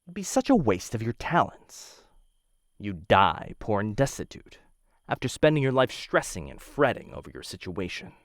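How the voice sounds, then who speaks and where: Man acting a deep-voiced, flirtatious assassin, swooping intonation. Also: very animated, no room sound, clean studio sound. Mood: pressing, condescending.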